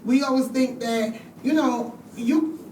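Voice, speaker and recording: A high-voiced woman co-hosting a podcast, quick with affirmations and playful quoted asides. She sounds distant in an echoey room with light hiss. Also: mellow. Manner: frequent affirmations, playful quoting. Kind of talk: casual chat.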